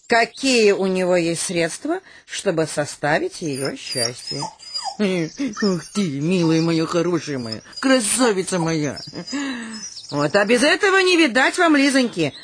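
A woman's voice: mid-range voice, big pitch swings, measured pace, background noise. An actress playing a fussy older woman in a comic radio play, imperious and dismissive with people, cooing over her lapdog, with music behind her.

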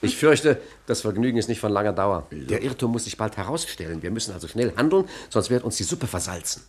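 A cunning master criminal in a comic radio play. He rattles along quickly in a fairly low voice, nonchalant and sure of himself. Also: sing-song, noisy background. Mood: wary. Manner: nonchalant, chatty, self-assured. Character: breezy, cunning master criminal of the twenties, gang boss.

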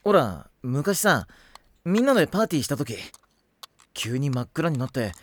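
Easygoing anime boy, lively intonation. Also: a little high, moderate pace. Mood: relaxed, reminiscing. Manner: casual, laid-back, lightly teasing. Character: easygoing, kindly teenage boy, casual and teasing. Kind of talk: anime audio drama acting.

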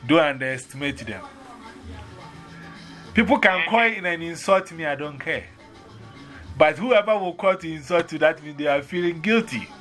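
A man's voice: a little high, animated, normal speed, pauses often, a bit bright, soft background music. A man from Ghana with a Ghanaian accent, telling stories in casual chat and acting out both sides of the conversations.

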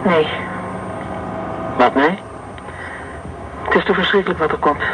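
Man as reluctant reader of a war story, high-pitched and stiff, noisy radio play recording. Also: fairly even intonation, measured pace. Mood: uneasy.

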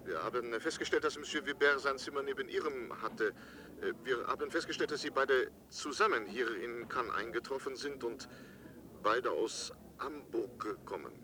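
An actor playing a polite police inspector in a German radio drama, formal and hesitant. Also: male voice, medium pitch, normal speed, crowd noise, close to an everyday voice. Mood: official, grave. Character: polite, halting French police inspector on the phone.

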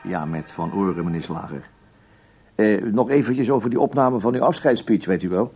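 An actor playing a glib, placating broadcast official making smooth, hesitant excuses on the phone in a radio play. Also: male voice, on the low side, moderate pace, darkish tone, soft background music. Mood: businesslike reassurance.

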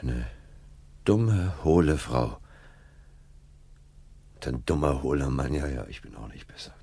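A man's voice: a bass voice, lively intonation, measured pace, creaky, warm tone, breathy edge, no echo, full character voice. An actor playing an anguished, desperate, brooding man in a German radio drama. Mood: brooding, despairing.

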